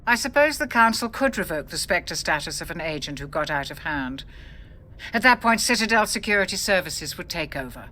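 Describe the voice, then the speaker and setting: Crisp, sceptical ship's officer. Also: female voice, on the low side, sing-song, brisk, bright, forceful tone. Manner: sceptical, matter-of-fact. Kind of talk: video game voice acting.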